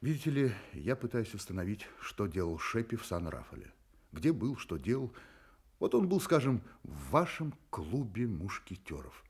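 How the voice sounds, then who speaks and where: Radio-play detective, courteous and insistent, husky, very animated intonation, halting phrases. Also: male voice, on the low side, exaggerated voice. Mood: halting, persuasive. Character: polite, insistent male private detective, hesitant and wry. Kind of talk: Soviet-style radio play acting.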